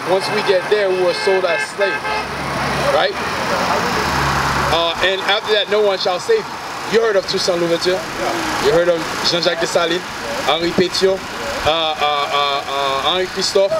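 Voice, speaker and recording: A man's voice: high-pitched, steady tone, normal speed, long pauses. A man from Haiti preaching outdoors by a street, asking the listener questions and calling out to passersby. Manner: asks the listener questions, calls out to people walking by. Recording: loud street traffic noise. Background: loud traffic and car engines.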